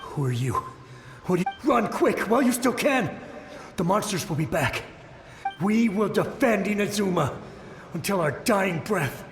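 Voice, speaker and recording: A man's voice: sits high, animated, unhurried, pauses often. A man voicing the ghost of a desperate, frightened soldier in a video game, anguished and pleading. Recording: ghostly echo with long reverb. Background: game music.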